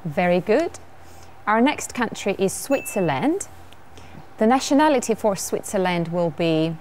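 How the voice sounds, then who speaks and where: Lively young woman with a Georgian accent. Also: medium pitch, a little slow, a little hiss or hum. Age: young adult. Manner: cheerful, laughs often. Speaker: English teacher co-host. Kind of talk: bilingual televised lesson. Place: TV studio set.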